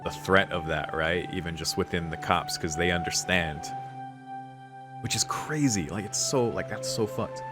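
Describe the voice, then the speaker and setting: A man's voice: deep voice, normal speed. A man doing casual let's play commentary in a laid-back, slangy way. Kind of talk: casual gaming commentary, reading subtitles aloud. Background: game music.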